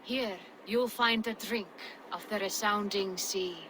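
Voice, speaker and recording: A woman's voice: medium pitch, steady tone, noisy background, close to an everyday voice. An actress playing a solemn, mystical female seer who speaks in riddles and prophecy in a game cutscene. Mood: cryptic. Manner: slow, cryptic, prophetic. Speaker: volva. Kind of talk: video game cutscene acting.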